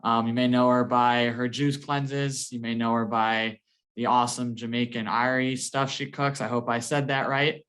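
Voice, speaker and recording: A man hosts a laid-back interview and keeps things friendly. He talks at an ordinary middle pitch and leans on fillers like "you know" and "um". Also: level tone, moderate pace, a bit bright. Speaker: host. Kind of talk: casual interview hosting.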